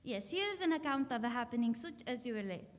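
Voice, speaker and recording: A woman playing a grave, cryptic old doctor of the supernatural in a radio drama, speaking formally and deliberately. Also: a little high, animated, close to an everyday voice. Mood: mysterious, pondering. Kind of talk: theatrical radio drama acting. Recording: noisy stage recording in reverberant hall.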